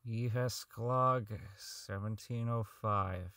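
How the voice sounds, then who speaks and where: A man's voice: fairly low pitch, fairly even intonation, takes it slowly, fairly bright tone, no room sound, studio quality. A man playing a socially inept, bitter company owner in an audio drama, rambling and stammering through his log as he slides toward madness. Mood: bitter, weary. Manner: stammering, rambling, self-loathing, foul-mouthed.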